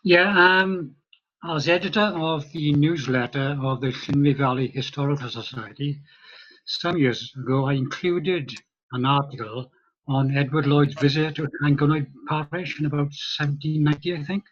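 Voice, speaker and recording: A man on a video call, recalling an old article in a measured, thinking-aloud way. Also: on the high side, fairly even intonation, highs cut off, slight background noise. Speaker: lecture participant, former newsletter editor. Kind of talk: casual discussion.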